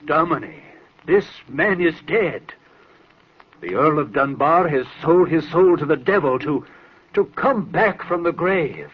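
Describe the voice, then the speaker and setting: A man's voice: a little high, expressive, measured pace, breathy edge. An actor playing a proud, furious old lord and father in declamatory radio drama style. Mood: outraged. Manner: imperious, declamatory. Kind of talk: 1940s radio acting. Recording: muffled, noisy old radio recording.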